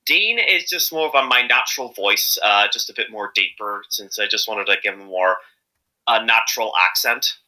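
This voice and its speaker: A man's voice: on the low side, moderate pace, sharp tone, poor audio. A man chatting casually as an interviewed amateur voice actor, rambling and hesitant, often correcting himself as he goes.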